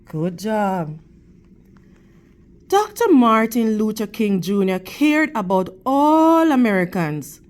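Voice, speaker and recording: A woman reading a picture book aloud to children like a teacher, in deliberate, measured phrases. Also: medium pitch, sing-song, a little mellow. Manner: deliberate, measured phrasing with questions and praise addressed to young listeners.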